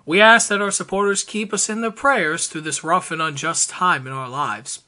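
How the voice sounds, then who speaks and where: A man giving an over-the-top dramatic reading, theatrical, emphatic and deliberate. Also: fairly high pitch, bright, forceful tone. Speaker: performer reading social media posts aloud.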